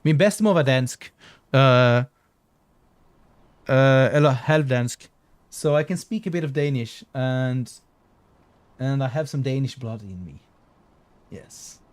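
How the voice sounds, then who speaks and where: A man's voice: medium pitch, takes it slowly, pauses often. A man from southern Sweden, streaming a game and chatting casually with viewers. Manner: chatty, restarts and fillers, reads chat aloud.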